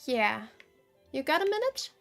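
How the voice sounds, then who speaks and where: Female game streamer, casual commentary, unhurried delivery, chatty and halting as she thinks aloud, music behind. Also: high voice, sing-song, creaky.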